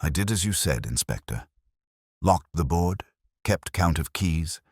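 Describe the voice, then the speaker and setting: A dutiful, slightly aggrieved church verger from an audio whodunit, voiced by a male reader. His talk is plain and a little grumbling, low in pitch and recorded very dry. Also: expressive, unhurried, breathy edge, dry recording, heavily acted.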